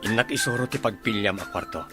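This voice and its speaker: An actor playing a courteous, attentive young gentleman in an Ilocano radio comedy drama. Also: fairly low pitch, fast, loud music behind the voice, close to an everyday voice. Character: courteous, attentive young gentleman showing his partner around home.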